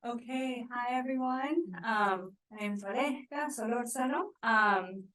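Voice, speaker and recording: A woman's voice: a little high, a little slow, fairly bright tone. A young woman from Venezuela giving an academic conference talk, with many "um" and "kind of" fillers. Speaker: conference presenter.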